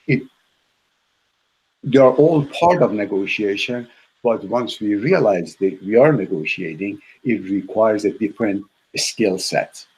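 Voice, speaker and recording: A man who grew up speaking Farsi talks as an interviewed expert. His voice is fairly low and husky. He explains patiently and in measured steps, often restating a point in parallel phrases. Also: darkish tone, poor audio. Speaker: author interviewed as negotiation expert.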